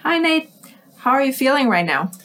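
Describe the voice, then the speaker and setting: A woman's voice: high-pitched, big pitch swings, normal speed, a bit bright. A woman speaking as a science officer in a recorded crew interview. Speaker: science officer, analog mission crew member.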